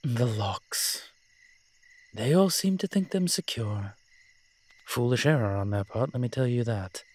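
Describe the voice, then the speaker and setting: A man's voice: medium pitch, animated, a little slow. A man playing a roguish incubus demon in a roleplay audio drama, talking in a sly, teasing, conversational way. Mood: playful, confident. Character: smooth-talking roguish male incubus demon, playful and scheming.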